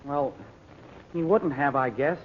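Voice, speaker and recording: An actor playing an eager, excitable teenage boy adventurer in an old radio serial, telling things breathlessly in slang. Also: on the high side, slow pace. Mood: eager, boastful. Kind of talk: 1930s-40s radio serial acting. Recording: lo-fi noisy vintage radio recording.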